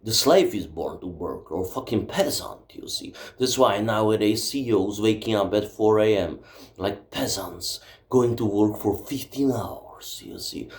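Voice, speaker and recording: A man's voice: fairly low pitch, expressive, unhurried. A man with a Czech accent, a native Czech speaker, delivering a blunt, emphatic and profane ranting monologue from his home library. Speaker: book vlogger. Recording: roomy echo.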